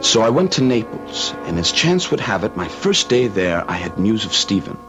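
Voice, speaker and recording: A man's voice: lowish voice, big pitch swings, normal speed. An actor in an old radio drama playing a loyal, concerned young man who narrates and converses earnestly. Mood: somber narrating. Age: young adult. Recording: muffled old radio recording with music.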